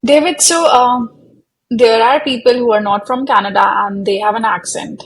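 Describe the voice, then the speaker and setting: A woman's voice: a little high, low-quality recording. A woman with an Indian accent, hosting a career interview and asking long, carefully framed questions. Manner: deliberate, framing long questions with "so, um". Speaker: host, career coach interviewer.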